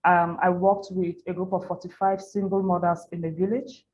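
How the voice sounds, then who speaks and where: A woman in her thirties with a Cameroonian accent speaks in a fairly low register. She tells her own story candidly, circling back on phrases to stress them. The sound is slightly dull, with noticeable noise behind her. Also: level tone, normal speed, darkish tone. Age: 30s. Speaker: interview guest, NGO founder and women's activist. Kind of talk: personal storytelling in an interview. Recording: muffled lo-fi audio with audible background noise.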